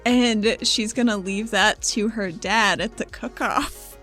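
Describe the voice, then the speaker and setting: A woman's voice: mid-range voice, slow pace, a bit bright, soft background music. A woman chatting casually as a player in a tabletop roleplaying game, playful, informal and sweary. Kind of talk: casual improvised game chat.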